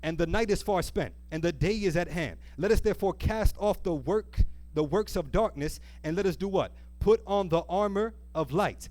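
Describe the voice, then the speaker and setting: A man preaching a sermon in an emphatic, exhorting manner, reading scripture and pausing to put questions to his listeners. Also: high voice, darkish tone. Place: church service, live.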